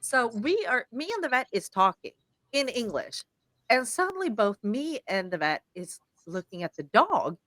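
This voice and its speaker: A woman's voice: fairly high pitch, normal speed, no room sound. Swedish-born woman hosting a podcast, bouncy up and down voice, dry close mic. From Sweden. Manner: animated storyteller, acting out quoted lines. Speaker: podcast host. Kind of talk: casual podcast chat.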